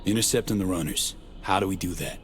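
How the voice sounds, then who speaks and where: Man acting a low-voiced, blunt street mercenary. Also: fast, slight background noise, close to an everyday voice. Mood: wary, probing. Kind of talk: cinematic game acting, casual slangy dialogue.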